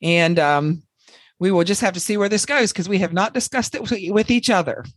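A woman in her sixties with a hoarse voice from a cold, chatting casually as a podcast co-host and laughing between phrases. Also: mid-range voice, brisk, no echo.

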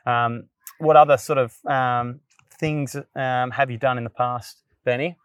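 An Australian man hosting a casual podcast interview. He speaks at a middle pitch, friendly but halting, with many ums and uhs. The sound is dry and close to the mic. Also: fairly even intonation, fairly bright tone, clean tone, no room sound. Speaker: podcast host.

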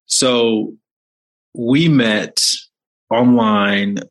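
A man's voice: on the low side, extremely slow, rough recording. A man hosting a podcast, introducing his guest with enthusiasm and then interviewing him. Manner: enthusiastic, upbeat. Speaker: podcast host.

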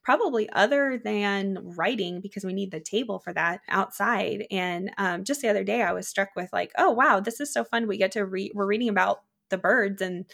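A woman's voice: medium pitch, moderate pace, creaky, hardly any pauses, fairly bright tone. A woman guest from the Czech Republic, chatting casually in a podcast interview, laughing often and trailing off mid-sentence.

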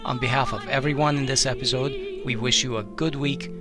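A man's voice: medium pitch, steady tone, brisk. A man hosting a podcast, speaking directly to listeners in a sign-off narration style. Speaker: podcast host. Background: music.

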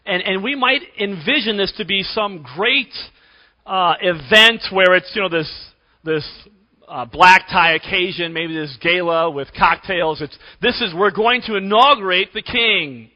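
Man, high voice, hoarse, church preaching, long church reverb, muffled recording. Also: measured pace. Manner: clears throat often, emphatic preaching. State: losing his voice, hoarse. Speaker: pastor. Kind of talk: sermon. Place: church sanctuary.

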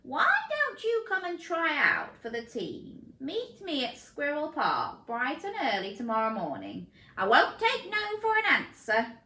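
A grand male chameleon coach who booms out every word slowly and with great emphasis, as a woman puts him on. The voice sits high and swoops widely in pitch. It rings bright in a roomy, echoey space. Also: huge pitch swings, full character voice. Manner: drawn-out, booming, emphatic. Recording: roomy echo.

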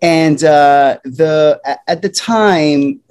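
A middle-aged man from Puerto Rico speaks slowly as a guest in a relaxed interview. His talk is loose and unhurried, with frequent ums and restarts between thoughts. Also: a little high, clear, resonant tone.

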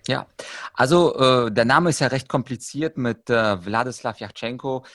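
A man from Ukraine talks as an expert guest on a podcast. His voice sits at a medium pitch and is recorded dry, with little room sound. He explains in a conversational way, working through examples like a trainer. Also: normal speed, a bit bright, dry recording. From Ukraine, moved to Germany at ten.

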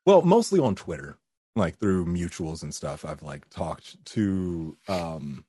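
A man's voice: deep voice, big pitch swings, slow, dark tone, slightly rough, no echo, clean studio sound. A young man, a voice actor, chatting casually in an interview and laughing often. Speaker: voice actor guest.

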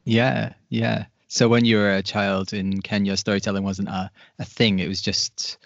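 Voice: low voice, flat delivery, normal speed, vocal fry, no room sound. An interviewer who keeps the chat going with short, encouraging prompts, in a mid-range voice. The sound is very dry and close, with a dull lo-fi tone. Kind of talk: conversational interview.

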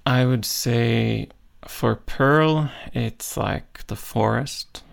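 A man's voice: medium pitch, very slow, creaky. A man speaking as an interview guest, a musician reflecting casually and thoughtfully, searching for words and often saying "like".

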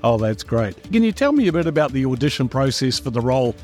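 A man's voice: mid-range voice, sing-song, fast talker, darkish tone, faint music behind. A man hosting a podcast interview, asking questions in a friendly, conversational way. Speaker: podcast host. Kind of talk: casual interview.

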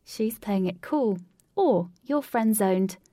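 A woman's voice: fairly high pitch, expressive, unhurried, warm tone, no echo, clean studio sound, voice pushed up. A woman voicing a frank, level-headed young woman with a casual manner, in a story. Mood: advising. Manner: casual, matter-of-fact.

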